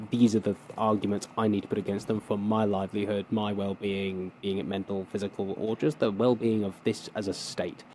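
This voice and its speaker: Wry low-voiced man. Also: mellow, slight background noise. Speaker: podcast co-host. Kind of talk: casual chat.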